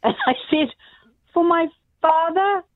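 A woman's voice: very high voice, measured pace, dry recording. Lady caller on a tinny phone line, chatty, plays out the conversation. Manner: chatty, acts out the dialogue. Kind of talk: telling an anecdote.

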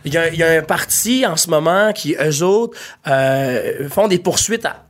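A young man with a Quebec French accent, chatting passionately about politics, swearing casually and filling pauses with "euh". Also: fairly high pitch.

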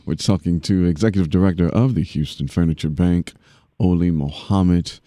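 A man's voice: sits low, steady tone, fairly quick, warm tone, dry recording, clean studio sound. A man hosting a public affairs podcast, interviewing a guest in a casual, affirming way with frequent fillers. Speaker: podcast host.

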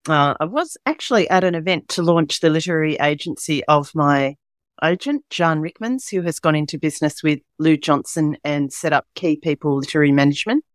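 Croaky Australian woman hosting a podcast. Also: deep voice, brisk, dry recording, studio quality. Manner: chatty and upbeat, rambling asides. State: croaky, hoarse voice. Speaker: podcast host. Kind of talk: casual podcast chat.

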